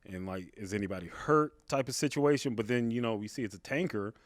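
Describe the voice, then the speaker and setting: A man with a big voice, a podcast guest, telling a personal story in a casual, halting, conversational way. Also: fairly low pitch, lively intonation, dry recording. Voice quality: big, carrying voice. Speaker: podcast guest, stand-up comedian.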